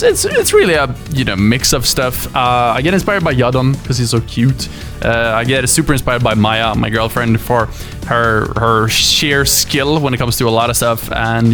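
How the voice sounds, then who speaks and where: A man from Sweden reads out fan questions and answers them in a loose, chatty way, voice swinging widely up and down. He laughs easily and fills pauses with uh and like. A faint bed of music sits underneath. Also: mid-range voice. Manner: lively, laughs often, fillers like uh and like. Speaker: YouTuber and musician. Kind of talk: casual Q&A, reading viewer questions.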